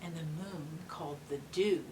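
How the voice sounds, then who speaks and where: A woman talking and reading aloud to young children, explaining things simply and patiently with gentle questions. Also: low-pitched, normal speed. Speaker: read-aloud host for children. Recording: distant mic with faint background noise.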